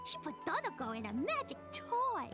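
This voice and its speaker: A woman's voice: very high voice, wildly swooping pitch, normal speed, full character voice. A woman voicing a cheerful little girl who loves bombs in a video game, sweet and sing-song, with game music behind. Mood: cheery.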